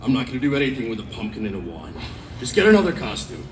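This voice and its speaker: A man's voice: medium pitch, sing-song, fast, lightly acted. A man playing a grumpy, impatient mutant hero in a live comic sketch, curt and irritated. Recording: distant, roomy live event, faint noise.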